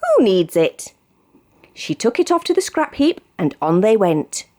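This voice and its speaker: A British woman narrates a picture book for young children. Her mid-pitched voice swoops widely up and down, giving the read-aloud a highly expressive, animated delivery. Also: normal speed. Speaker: storybook reader.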